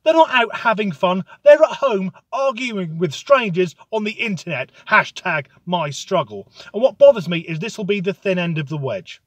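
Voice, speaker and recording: Male comic ranter, sarcastic and deadpan, slightly high voice, dry close sound. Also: normal speed, hardly any pauses, no echo. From United Kingdom. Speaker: comedic ranter. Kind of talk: sardonic comic monologue.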